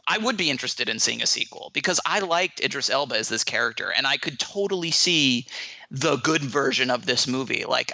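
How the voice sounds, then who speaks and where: A man's voice: medium pitch, vocal fry, sharp tone, dry recording, low-quality recording. A man giving a casual film review as a guest critic, conversational and emphatic.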